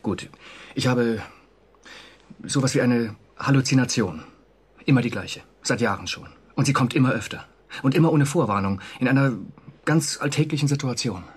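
An actor playing a conscientious, uneasy government official in a radio drama, speaking formally and hesitantly with a husky voice. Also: male voice, mid-range voice, moderate pace, natural voice. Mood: uneasy, confiding. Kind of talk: German radio drama acting.

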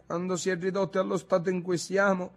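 A man's voice: high-pitched, flat delivery, fairly quick, faint music behind, voice pushed up. A man voicing a sensible young woman in an audiobook, matter-of-fact in tone. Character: sensible young woman, grown-up beyond her years.

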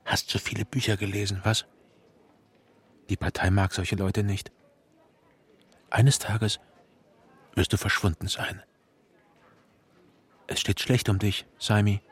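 A brooding, watchful clerk in a radio play. His voice is rather low and heavily breathy, with a rough husk. He speaks with dry restraint, as if thinking to himself. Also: flat delivery, slow, long pauses, full character voice. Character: wary, brooding male Party clerk, quietly observant. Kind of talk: radio drama acting.

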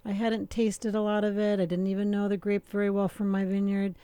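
A middle-aged woman with a mellow, warm voice chats as a guest on a radio show. She is relaxed and talkative, pausing often on fillers and laughing easily. Her voice sits close to the studio mic and sounds dry. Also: medium pitch, clean tone, dry recording. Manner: relaxed and chatty, with many filler pauses and easy laughter. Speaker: winemaker guest. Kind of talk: casual radio interview.

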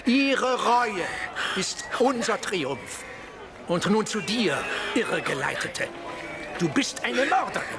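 An actor in a radio drama playing a fanatical zealot preacher, solemn and declamatory. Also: male voice, high-pitched, big pitch swings, takes it slowly, pauses often, music in the background, heavily acted. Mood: righteous, threatening. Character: fanatical male zealot preacher haranguing a crowd. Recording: noisy outdoor street scene.

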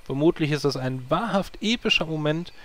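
A man's voice: fairly high pitch, lively intonation, fairly quick, a bit bright. A man voicing an earnest, long-winded male druid hero in a fan audio drama. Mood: grandiose. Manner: earnest, grandiloquent.

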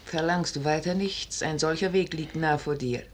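An actress playing a forceful, scheming noblewoman in a 1950s German radio drama, declaiming insistently in classical stage style with a husky voice. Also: low voice, moderate pace, slight background noise, lightly acted. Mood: urgent, persuasive. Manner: rhetorical, insistent, theatrically declaimed. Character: forceful, scheming noblewoman urging a wavering commander to seize power.

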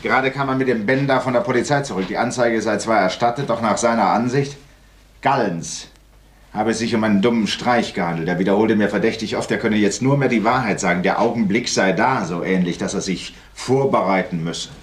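A man's voice: on the low side, fairly quick, close to an everyday voice. An actor playing a cynical, suave and greedy blackmailer in a German radio play, savoring his words with sardonic relish. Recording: faint noise on old radio recording.

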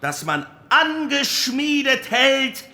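An actor playing a defiant, fervent post-war German parliamentarian, declaiming a formal political speech with emphasis. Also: male voice, very high voice, big pitch swings, slow, sharp tone, heavily acted. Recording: echoey room.

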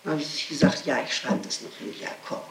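A woman's voice: low-pitched, expressive, brisk, echoey room, slight background noise. A woman answering questions under interrogation, explaining insistently and often repeating herself, on an old 1960s tape. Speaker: suspect being questioned. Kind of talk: spontaneous testimony under interrogation.

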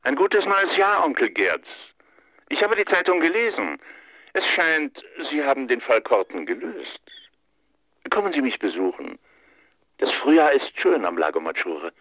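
Radio play bit part: polite confidant, animated pitch, muffled lo-fi line. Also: male voice, normal speed, long pauses, dry recording. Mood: knowing. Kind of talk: radio drama acting. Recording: dull band-limited dry line.

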